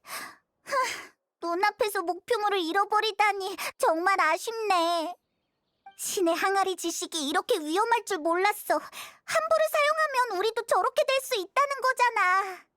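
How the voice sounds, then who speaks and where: Very high, childlike little companion. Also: female voice, moderate pace, bright, forceful tone, no echo, studio quality. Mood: curious, regretful. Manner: chatty, exclamatory, childlike. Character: small childlike floating companion, curious, chatty, excitable. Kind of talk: anime-style game voice acting. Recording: faint music behind the voice.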